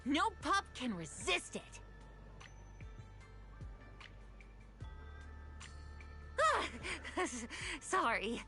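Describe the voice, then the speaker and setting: A woman's voice: sits high, sing-song, slow pace, pushed and bright, soft background music, heavily acted. A woman voicing an eager, kindly young pet shop clerk in an anime video game, perky and a little bashful. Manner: perky, helpful, slightly bashful.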